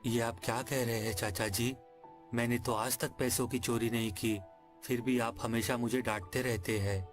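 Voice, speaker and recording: A man's voice: medium pitch, steady tone, moderate pace, soft background music, voice pushed down, natural voice. A man voicing a poor, honest, mistreated nephew in a cartoon story, in a meek, pleading manner. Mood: meek, hurt.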